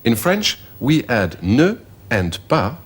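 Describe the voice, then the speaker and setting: Husky, lively man presenter. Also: mid-range voice, slow. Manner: explanatory, models phrases for repeating. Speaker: presenter of a language course. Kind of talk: scripted teaching narration.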